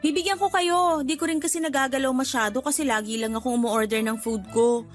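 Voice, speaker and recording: Actress doing friendly rich filipina neighbour in a radio drama, high voice, chatty, a bit of a humblebrag. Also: female voice, fairly even intonation, fairly quick, faint music behind, lightly acted. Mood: breezy, generous. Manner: chatty, accommodating, English words mixed in. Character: friendly, well-off woman neighbour, unwittingly boastful and generous. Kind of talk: Tagalog radio drama acting.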